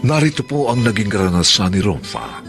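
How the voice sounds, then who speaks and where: A man's voice: on the low side, very animated, a little fast, a bit bright. A man narrating a radio drama in a dramatic, scripted style. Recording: narration over clear guitar music. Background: plucked guitar music.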